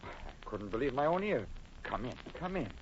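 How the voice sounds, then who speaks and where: A man's voice: medium pitch, sing-song, normal speed, lightly acted. A man playing an intense, courtly former lover in a 1940s radio drama. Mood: surprised concern. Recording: dull, hissy vintage radio recording.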